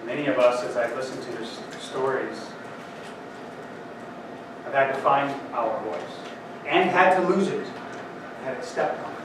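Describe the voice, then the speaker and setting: A man's voice: mid-range voice, noisy background. Man speaking from far in an echoey hall. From Denver, Colorado. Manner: dramatic, slow, swings loud and soft, bursts into song. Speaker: poet and speaker at a conference. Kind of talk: spoken word poetry and talk. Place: large conference hall.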